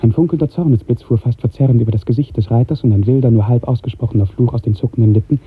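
A man narrating a literary radio drama in 1950s German style, with measured, lyrical storytelling. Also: mid-range voice, breathy edge. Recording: muffled, narrow-band 1950s radio recording.

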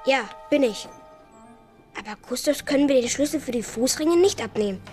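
A woman's voice: high voice, lively intonation, moderate pace, a little mellow, soft background music. A woman playing a determined, protective boy who speaks up boldly in a children's radio play. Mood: determined, bitter. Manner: bold, outspoken, insistent.

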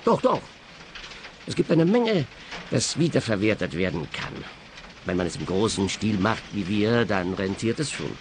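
Ernster Schrottplatzchef, leichte Hintergrundgeräusche. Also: male voice, fairly low pitch, very animated, moderate pace, background noise. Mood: matter-of-fact. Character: earnest, matter-of-fact male scrapyard boss. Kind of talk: radio play dialogue.

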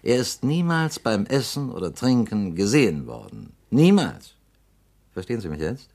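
A man's voice: on the low side, very animated, normal speed, darkish tone. An actor playing a scheming politician who presses his case with insinuation and veiled threats in a radio play. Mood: insistent. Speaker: politician confronting a company director. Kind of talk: radio drama acting.

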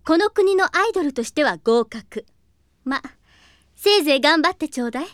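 Brief: haughty young noble lady, high voice, lively sweeping intonation, condescending, music clearly underneath. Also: normal speed, no echo, clean studio sound. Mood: cool, dismissive. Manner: aloof, ladylike, condescending. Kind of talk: anime audio drama acting.

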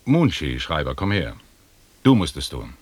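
An actor playing a stern expedition commander in a radio play, formal and commanding, with a husky voice. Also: male voice, a bass voice, big pitch swings, unhurried, a little mellow, full character voice. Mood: curt commanding. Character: stern British expedition commander in colonial Africa. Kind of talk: 1980s German radio play acting.

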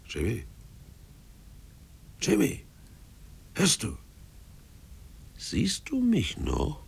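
An actor playing a spiteful, goading sailor in a radio drama, with a hoarse, gravelly voice and a taunting way of talking. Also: male voice, medium pitch, huge pitch swings, exaggerated voice. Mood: subdued, uneasy. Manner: jeering, taunting, drawn-out mock sweetness. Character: spiteful, goading male sailor, sneering bully.

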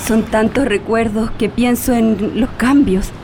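A woman's voice: on the high side, fairly even intonation, unhurried, warm tone, a little hiss or hum. An actress playing an emotional, urgent Chilean exile woman with a Chilean accent in a radio drama, music behind her. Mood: hopeful, anxious. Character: Chilean woman exile, excitable, anxious, hopeful.

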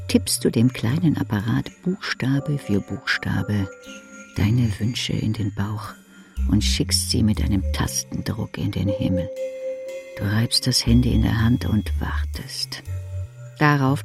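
Slow, creaky man narrator. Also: medium pitch, a little mellow, soft background music. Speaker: audiobook narrator. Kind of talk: literary second-person narration.

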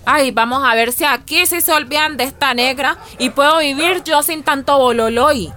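A woman's voice: high-pitched, normal speed, sharp tone, a little hiss or hum. An actress playing a fiery, defiant activist mother in a radio drama, emphatic and colloquial, with a Colombian accent. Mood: weary hope.

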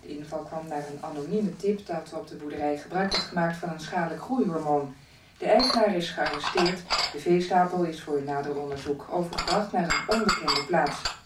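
An actress plays a sober radio newsreader with a low voice, reading a formal bulletin. The sound is echoey and slightly distant, with clear clattering noise around it. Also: female voice, brisk, lightly acted. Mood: neutral, official. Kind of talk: formal scripted news bulletin.

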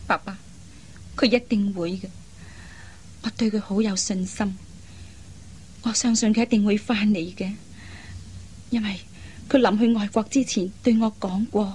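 An actress playing a loyal young adult daughter in a Cantonese radio drama, speaking earnestly and pleadingly in carefully articulated speech. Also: female voice, mid-range voice, steady tone, unhurried, long pauses, a little mellow, a little hiss or hum, natural voice. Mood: resolute, heartfelt.

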